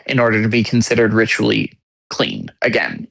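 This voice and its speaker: Measured co-host in casual discussion. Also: mid-range voice, fairly even intonation, creaky, fairly bright tone. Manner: measured and agreeable, gives short assents.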